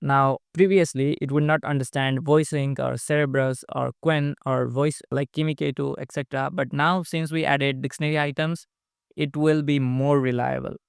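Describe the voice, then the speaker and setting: Young man, smooth voice with gravelly fry. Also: mid-range voice, fairly even intonation, normal speed, dry recording, studio quality. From Nepal. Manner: plain, matter-of-fact explaining. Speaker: app developer. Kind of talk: casual screen-recorded product update. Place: indoors, small room.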